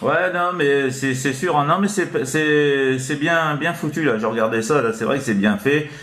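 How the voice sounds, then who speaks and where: A man chatting casually as a CB radio operator, halting and repetitive. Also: a little high, fairly bright tone, a little hiss or hum. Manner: halting, repetitive, full of verbal tics. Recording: roomy, echoing room sound.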